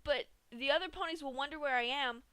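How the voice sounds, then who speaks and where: A woman's voice: high-pitched, fast talker, sharp tone, no echo, voice pushed up. A woman voicing a brash young female pegasus pony who pleads in panic. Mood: pleading.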